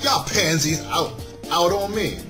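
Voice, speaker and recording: A man's voice: fairly high pitch, measured pace, pitched above the performer's own voice, close to an everyday voice. A man voicing a brash, competitive young male friend in a visual novel, goading and emphatic. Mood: defiant. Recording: music playing behind the voice.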